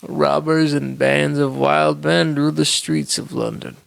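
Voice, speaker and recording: A man reading a bedtime story aloud as a storyteller, with measured, dramatic delivery. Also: on the high side, level tone, gravelly, dry recording.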